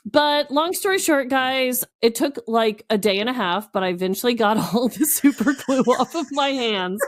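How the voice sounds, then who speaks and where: A woman co-hosting a podcast, telling casual comic stories in a chatty, animated and expressive way. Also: on the high side, moderate pace. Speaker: podcast co-host. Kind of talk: casual comedic storytelling.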